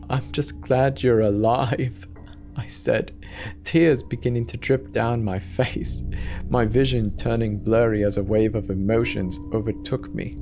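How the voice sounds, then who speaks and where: A man's voice: on the low side, darkish tone. A man giving a dramatic scripted narration of a horror story.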